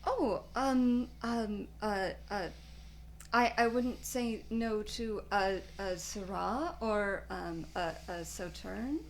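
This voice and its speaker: Hesitant, slow-speaking woman player. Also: medium pitch, slight background noise, poor audio. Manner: hesitant, halting. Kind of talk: playing a character in a tabletop game.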